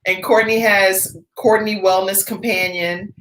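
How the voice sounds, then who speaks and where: A woman's voice: medium pitch, fairly even intonation, a little slow, fairly bright tone, slight background noise. Chatty woman host in an echoey room. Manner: upbeat, chatty, laughs often. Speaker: talk show host. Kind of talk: casual live interview chat.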